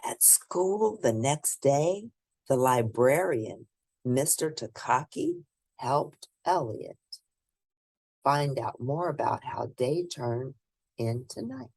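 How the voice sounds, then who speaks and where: A woman reading a children's picture book aloud in an expressive, emphatic way, with asides to young listeners. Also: very deep voice, takes it slowly. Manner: animated, emphatic storytelling. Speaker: children's book reader and host.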